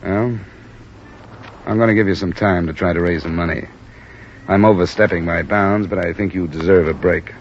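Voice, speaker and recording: An actor playing a decent, matter-of-fact insurance investigator in a 1950s radio drama, with a dry, level delivery. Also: male voice, low-pitched, steady tone, normal speed, pauses often, a little hiss or hum, natural voice. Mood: businesslike. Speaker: lead and narrator. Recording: muffled old radio recording with music. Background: music score.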